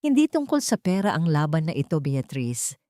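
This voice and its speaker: Storybook grieving mother read by a woman, cold and confrontational, lowish pitch, dry recording. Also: sing-song, moderate pace, warm tone, dry recording. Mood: defiant.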